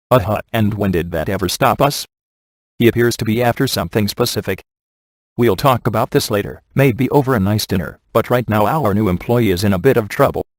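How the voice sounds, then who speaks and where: Guy voicing a wisecracking ghostbuster type in a game, deadpan, lowish voice, close dry sound. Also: dry recording, natural voice. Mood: wry, then smug. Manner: deadpan, sarcastic, offhand. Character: wisecracking, sardonic adult male ghost hunter. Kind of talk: video game voice acting, comic one-liners.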